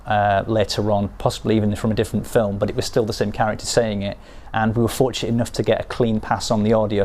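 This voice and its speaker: A man with a slightly low voice talks through his work as a game developer in an interview. He is hesitant and thinks aloud, piecing sentences together as he goes. There is clear ambient noise behind him. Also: a little mellow. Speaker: game developer explaining cut scenes. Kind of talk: behind-the-scenes interview, unscripted explanation.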